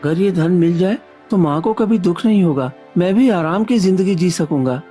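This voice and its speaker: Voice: medium pitch, normal speed, warm tone, natural voice. A performer voices a kind, honest young villager from a moral tale. The delivery is earnest and devout, with faint music behind it. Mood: tempted. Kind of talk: acted dialogue in Hindi moral story.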